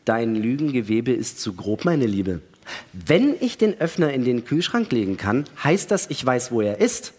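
An actor as a husky, sarcastic astronaut, echoing hall. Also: male voice, medium pitch, sing-song, normal speed, a little mellow. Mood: defensive, scornful. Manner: argumentative, sarcastic, pointed. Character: wary, sarcastic male astronaut arguing with his crewmate. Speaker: actor in a radio play reading. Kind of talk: dramatic radio play acting in German. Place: large hall, live staged reading. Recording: reverberant stage hall sound.

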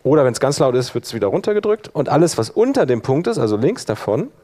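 A man leading a workshop, explaining informally and thinking aloud while he operates the software. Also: medium pitch, big pitch swings, fast talker. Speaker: workshop instructor.

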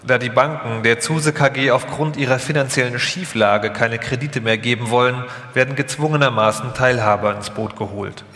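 A man's voice: mid-range voice, steady tone, normal speed, hardly any pauses, fairly bright tone. A man reading scripted documentary narration live, in a formal, factual manner. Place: large hall, live stage reading. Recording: echoey hall, long reverb.

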